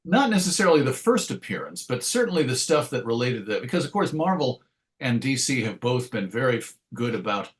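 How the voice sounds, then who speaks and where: A man's voice: mid-range voice, big pitch swings, brisk. A man answering as an interview guest in a conversational way, thoughtful and inclined to explain things through analogies. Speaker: interview guest, writer and radio host.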